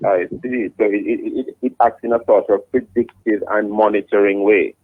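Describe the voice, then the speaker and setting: Low husky radio host. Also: male voice, steady tone, moderate pace, no echo, narrow, tinny audio. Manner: polite, formal, addresses guest as sir, many restarts. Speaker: radio morning show host. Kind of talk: radio interview. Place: radio studio.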